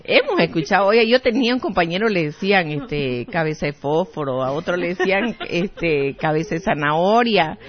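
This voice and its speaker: A man's voice: sits high, lively intonation, moderate pace, hardly any pauses, dull audio. A man with a Camba accent from Santa Cruz, hosting a casual chat on air in a chatty, hesitant way with many fillers. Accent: Bolivian Camba, Santa Cruz. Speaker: radio or TV host.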